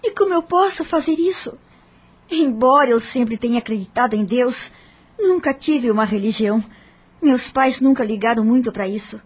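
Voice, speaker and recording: A woman's voice: a little high, lively intonation, moderate pace, slightly rough. A woman playing an anxious, devoted young widow in a radio drama, speaking earnestly and tenderly. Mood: earnest, curious.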